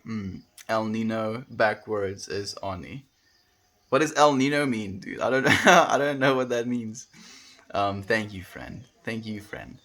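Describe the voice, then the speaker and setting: A man's voice: fairly low pitch, measured pace, fairly bright tone. A man chatting casually with viewers on a livestream, meandering and laid-back with many fillers. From South Africa. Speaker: livestreamer reading chat. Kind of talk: casual spiritual chat with viewers.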